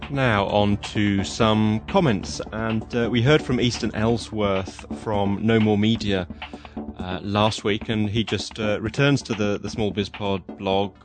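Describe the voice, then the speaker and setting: A man's voice: on the low side, steady tone, measured pace, music in the background. A man hosting a podcast, talking in a chatty, casual way with lots of fillers and restarts. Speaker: podcast host.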